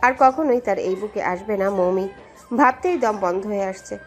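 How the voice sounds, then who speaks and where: A woman's voice: medium pitch, moderate pace. A woman narrating a scripted story in a dramatic storytelling style. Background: background music.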